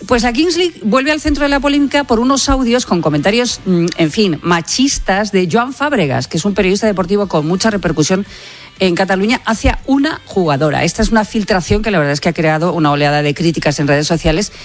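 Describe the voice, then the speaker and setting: A woman hosting a morning radio talk show, running through news items between quick banter with colleagues. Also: mid-range voice, expressive.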